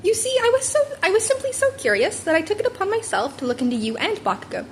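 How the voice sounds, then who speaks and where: Woman voicing a high, polite little animal principal. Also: huge pitch swings, quick pace, slight background noise, full character voice. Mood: intrigued. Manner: polite, amused, chuckling. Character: small cheerful, scheming animal school principal. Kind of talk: amateur fan fiction audiobook character.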